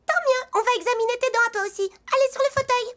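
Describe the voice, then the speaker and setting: A woman's voice: very high-pitched, fast, a bit bright. A woman voicing a kindly, reassuring dentist mouse in a cartoon, with music behind. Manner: soothing, reassuring, patient.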